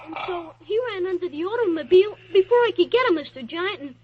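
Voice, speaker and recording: A woman's voice: very high-pitched, expressive, fast, noisy background. A performer voicing an earnest, polite, anxious little boy with eager, stammering speech in 1940s radio drama. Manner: eager, stammering, boyish slang. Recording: dull old radio recording.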